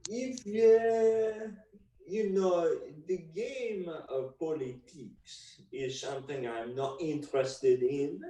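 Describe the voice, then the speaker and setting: A man's voice: a little high, warm tone, recorded from a distance. A man playing a pompous French bachelor who hosts a dating game, speaking in a put-on French accent in an improv comedy scene. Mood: hammy. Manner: theatrical, drawn-out questions sprinkled with French. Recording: roomy sound, away from the mic.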